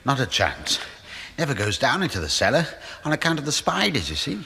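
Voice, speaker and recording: An actor playing a meek but sly middle-aged British husband and accomplice, hesitant and deferential, in a 1970s radio drama. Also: male voice, medium pitch, huge pitch swings, fairly quick, gravelly, pushed and bright, slight background noise, heavily acted. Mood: furtive. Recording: echoey 1970s radio play.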